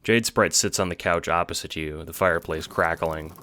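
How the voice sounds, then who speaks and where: Male narrator, very deep voice, scripted audio drama storytelling, second person, faint background noise. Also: fairly even intonation, normal speed, sharp tone.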